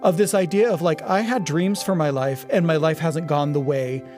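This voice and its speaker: A middle-aged man as a podcast guest, talking casually and thoughtfully about film in a halting, reflective way. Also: on the high side, over music. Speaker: podcast guest, audio drama producer.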